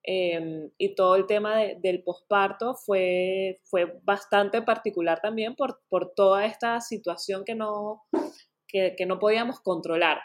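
An earnest woman guest talks through her story in a relaxed interview, her voice sitting at an everyday middle pitch. She often repeats the start of a phrase before going on, and her sentence endings fade softly. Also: a little slow. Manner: earnest, often restarts words, soft trailing endings. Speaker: naturopath guest. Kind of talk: casual interview chat.

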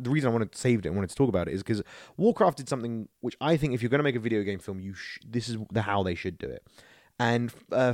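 A man's voice: medium pitch, darkish tone, no echo, studio quality. A man co-hosting a casual podcast, giving opinions in a rambling way with incredulous rhetorical questions. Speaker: podcast co-host.

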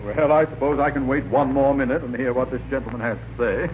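An actor playing a courteous, slightly flustered town mayor with a formal manner in a 1940s radio comedy. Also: male voice, mid-range voice, fairly even intonation, quick pace, a little mellow, close to an everyday voice. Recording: dull, noisy 1940s radio recording.

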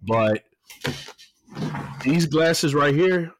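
A man's voice: on the high side, expressive, drawn-out words, a little hiss or hum. A man in his late thirties hosting a podcast over a video call, laid-back and slangy, laughing often. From Florida. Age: 30s. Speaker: podcast host. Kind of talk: casual podcast chat.

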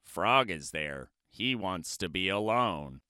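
A small, earnest toad who worries about his friend, his voice dropping low and quiet, as a man reads him. The delivery stays slow and the sound is dry and close. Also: animated, no echo, full character voice. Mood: worried. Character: anxious devoted male toad, small and earnest.

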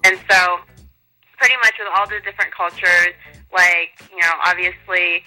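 A woman's voice: on the low side, fairly even intonation, moderate pace, pushed and bright, faint music behind, low-quality recording. A young woman DJ answering interview questions in a chatty, upbeat way with lots of fillers. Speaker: guest DJ.

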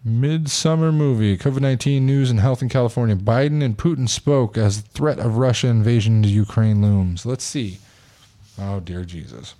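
A man's voice: mid-range voice, moderate pace, mellow. A man hosting a casual podcast, chatting bluntly and reading news posts aloud. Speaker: podcast host.

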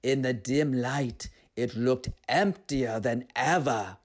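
A woman reading a story aloud as an expressive narrator. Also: very deep voice, slow pace.

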